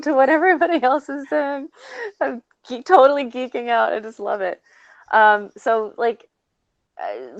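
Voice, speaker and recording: A woman speaking as a panelist, enthusiastic and emphatic, explaining in deliberate phrases on a slightly dull recording. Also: on the low side, no echo. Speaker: voice actor panelist. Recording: dry, slightly dull call audio.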